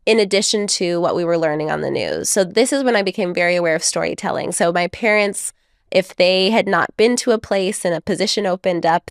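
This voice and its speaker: A woman guest speaks in a measured, reflective storytelling way, laughing lightly here and there. Her voice sits at a middle pitch. The recording is close and dry, with no room sound. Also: clean tone, no room sound. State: married mother. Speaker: guest author. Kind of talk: conversational podcast interview.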